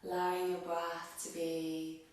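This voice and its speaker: A woman teaching yoga nidra, guiding a meditation gently and unhurriedly in short phrases with pauses between them. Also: on the low side, monotone. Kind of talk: guided meditation, slow soothing instruction. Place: reverberant room, recorded from a distance. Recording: very echoey room, distant microphone.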